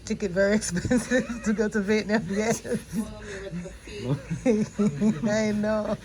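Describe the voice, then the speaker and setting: A woman from Jamaica vlogging casually while getting a pedicure in a nail salon, relaxed and chatty, laughing often. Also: mid-range voice, slow, crowd noise, poor audio. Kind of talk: casual vlog chat.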